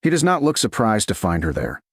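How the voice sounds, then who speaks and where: A man giving measured, dramatic scripted narration of a storybook romance. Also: on the low side, wildly swooping pitch, fairly bright tone, no echo, clean studio sound.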